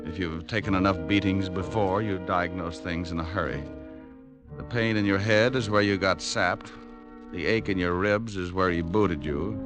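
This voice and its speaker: A man's voice: deep voice, normal speed, natural voice. A man playing a hard-boiled private detective on an old radio show, narrating with dry, sardonic wisecracks. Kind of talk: 1940s radio acting with narration. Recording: old radio broadcast with music.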